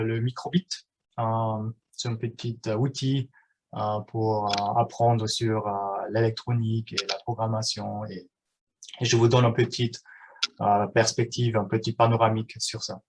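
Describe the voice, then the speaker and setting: A man in his thirties whose first language is German, giving a live online workshop in a halting way, with many fillers and restarts. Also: mid-range voice, level tone, a little hiss or hum. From German-speaking Switzerland.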